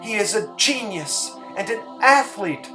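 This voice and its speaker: A man's voice: high-pitched, animated, music in the background, voice pushed up. A man voicing a female ballerina who reads a news bulletin in a careful, plain way, in a story reading. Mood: grave, urgent. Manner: apologetic, careful, deliberately plain.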